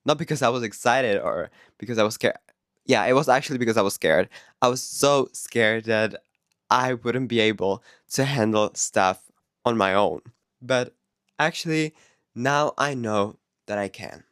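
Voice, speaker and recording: A man with a Czech accent and Czech as his first language chats to his viewers. His voice sits at an ordinary middle pitch and is recorded dry, with no room sound. He talks candidly and loosely, breaking into laughs and fixing his own words as he goes. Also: normal speed, fairly bright tone, clear, resonant tone, no echo. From Czech Republic. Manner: chatty and candid, with laughs and self-corrections. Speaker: vlogger. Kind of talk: casual vlog talk to camera.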